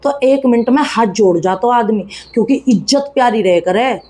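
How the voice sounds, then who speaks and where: A woman's voice: fairly high pitch, moderate pace, dark tone. A woman, giving casual, blunt, chiding gossip commentary to viewers in colloquial speech. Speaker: YouTube commentator.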